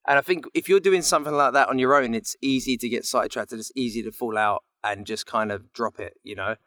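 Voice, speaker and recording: A man's voice: mid-range voice, fast talker, fairly bright tone, no echo, studio quality. A man talking casually as a voice actor guest in an interview.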